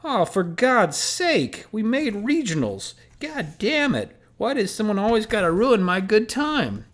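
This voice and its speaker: A man voicing a loud, brash older male sports coach with a braying East Coast American accent. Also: high-pitched, big pitch swings, a little slow, pitched above the performer's own voice. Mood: exasperated.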